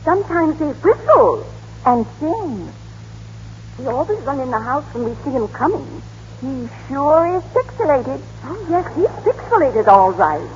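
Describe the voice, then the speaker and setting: An actress playing a prim, gossipy small-town spinster in comic old radio drama, folksy and matter-of-fact. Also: female voice, high-pitched, big pitch swings, unhurried. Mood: prim certainty. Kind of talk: 1930s-40s radio drama comic acting. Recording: echoey, muffled, noisy old radio broadcast.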